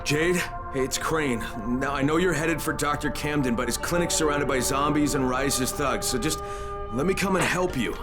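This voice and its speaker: A man's voice: on the high side, normal speed, over loud music, natural voice. A man playing a tough, earnest undercover agent in video game dialogue. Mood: urgent pleading.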